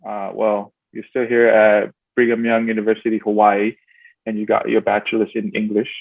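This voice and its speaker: A man's voice: fairly low pitch, monotone, normal speed, gravelly. A man hosting a casual interview podcast, talking with many fillers and restarts. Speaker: podcast host. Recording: tinny phone-like sound, dry.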